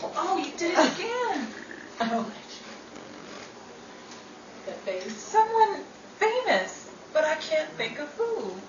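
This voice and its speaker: A woman who hosts and performs in a radio-style show, animated as she announces and chats with castmates. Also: high-pitched, slow pace, long pauses, muffled recording. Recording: noisy echoey live room, far from mic.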